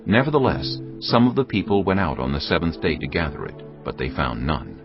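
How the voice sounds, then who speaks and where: Deep, gravelly male narrator. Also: big pitch swings, a little fast. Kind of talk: scripted narration of dramatized scripture. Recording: dull band-limited audio with music.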